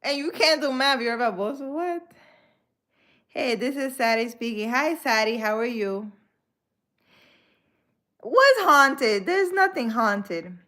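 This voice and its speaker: A woman's voice: a little high, animated, takes it slowly, long pauses, sharp tone. A woman from the Republic, chatting casually with viewers on a live stream, laughing often and slipping in Spanish words. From Dominican Republic. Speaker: live-stream host, spiritual healer.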